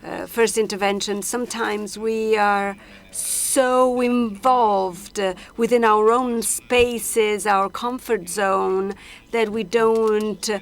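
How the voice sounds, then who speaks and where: A woman interpreting a panel discussion simultaneously, halting often with hesitations and restarts as she renders each speaker. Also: mid-range voice, fairly even intonation. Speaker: conference interpreter.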